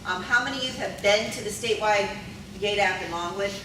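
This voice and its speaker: A middle-aged woman speaks to a conference audience in a loud, friendly teacher voice, often pausing on ums and asking the room questions. She is picked up from a distance in a big, very echoey hall with clear background noise. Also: medium pitch. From Maryland.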